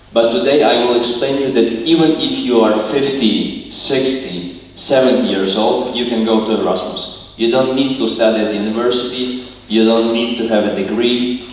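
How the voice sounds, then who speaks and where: A young man from Ukraine speaking English, giving a slide presentation as a student and explaining things plainly and earnestly. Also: medium pitch. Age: young adult. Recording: echoey hall, mic far off, faint noise.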